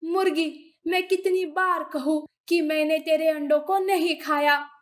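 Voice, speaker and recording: Shrewd cartoon she-cat, played by a woman: high voice, unhurried, firm and reproving. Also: fairly even intonation. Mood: indignant. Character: proud, shrewd female cat, a mother. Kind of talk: animated children's moral story.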